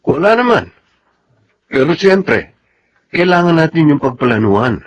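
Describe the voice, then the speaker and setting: A Filipino actor playing an earnest, insistent boyfriend in a radio drama. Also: male voice, a little high, very animated, a little slow. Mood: insistent, puzzled. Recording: dull lo-fi radio sound.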